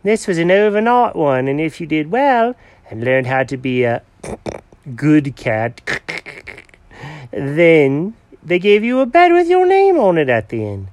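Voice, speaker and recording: A man voicing an excitable, bossy male cat, talking high and theatrical. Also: slow, dry recording. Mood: scheming.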